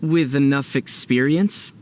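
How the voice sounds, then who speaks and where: A man voices a young adventuring hero from a video game, calm and wry. His voice sits at a medium pitch with an easygoing, teasing delivery. Faint ambient noise sits behind it. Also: measured pace, close to an everyday voice.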